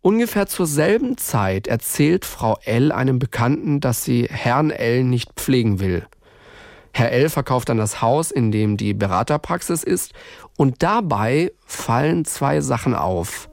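A man's voice: medium pitch, animated, unhurried, creaky, a little mellow, no room sound, studio quality. A man reading scripted true-crime narration as a podcast host.

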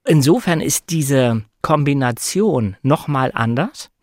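Guy with a mid-range voice thinking out loud as a guest, leans on key words, very dry recording. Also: medium pitch, animated, slow, no room sound. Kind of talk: conversational film analysis.

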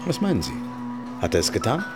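An actor playing a grave, loyal defence lawyer, sober and earnest, in a radio drama. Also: male voice, on the low side, wildly swooping pitch, fast, over music. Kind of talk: radio play acting.

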